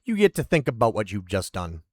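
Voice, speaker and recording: A man's voice: on the low side, very animated, brisk, slightly rough, no room sound, studio quality. A man streaming casually who rambles and pokes fun at himself while reading roleplay aloud. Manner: rambling and self-deprecating.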